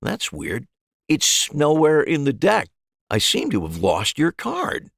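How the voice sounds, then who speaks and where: A man's voice: on the high side, wildly swooping pitch, slow, gravelly, fairly bright tone, dry recording, clean studio sound, voice pushed up, exaggerated voice. A man voicing a cheerful, reassuring magician with upbeat patter in an audio story. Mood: showy patter. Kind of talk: acted dialogue in an audio short story.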